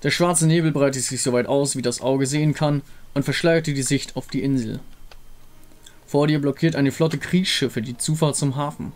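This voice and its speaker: A man's voice: mid-range voice, steady tone, normal speed, a little mellow. A man giving scripted narration as the narrator of a game visual novel.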